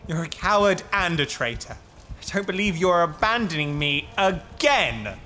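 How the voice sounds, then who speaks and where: Young friend, dry, blunt, loyal, slightly high voice, audio drama, faint music behind. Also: male voice, sing-song, normal speed, pushed and bright, exaggerated voice. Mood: bitter, angry. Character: loyal, teasing young male friend, jealous and bitter. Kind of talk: science fiction audio drama acting.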